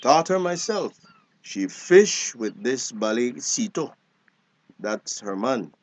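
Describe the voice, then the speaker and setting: A man's voice: mid-range voice, very animated, takes it slowly, heavily acted. An actor playing a weary, bitter old grandfather in a screen drama, with a Belizean accent. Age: older.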